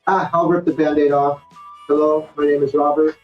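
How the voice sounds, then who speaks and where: A man's voice: fairly high pitch, little pitch movement, normal speed. Middle-aged male player, from the US, candid, dull lo-fi mic with faint music. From United States. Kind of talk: casual self-introduction.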